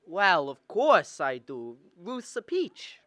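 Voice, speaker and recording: A woman's voice: lowish voice, big pitch swings, takes it slowly, sharp tone, no echo, studio quality, full character voice. A young woman playing an awkward, defensive, stubborn young man in a school radio drama, hesitant and stammering. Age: young adult.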